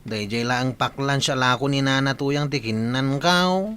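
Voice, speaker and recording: A man's voice: medium pitch, measured pace, fairly bright tone, clear, resonant tone, close to an everyday voice. A man playing an embarrassed, whining villager with a stomach ache in a comedic radio drama, in an Ilocano Philippine accent. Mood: worried.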